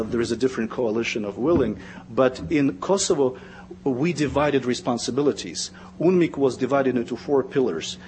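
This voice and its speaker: A man speaking on a conference panel, measured and pausing often, repeating words as he searches for them. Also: mid-range voice, darkish tone, slight background noise.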